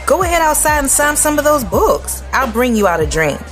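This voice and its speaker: An actress as caring best friend, lively intonation. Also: female voice, high-pitched, a little fast, faint music behind. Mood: concerned, caring. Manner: affectionate, encouraging. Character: caring, encouraging young woman, the supportive best friend. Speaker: supporting actress. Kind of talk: audio drama dialogue.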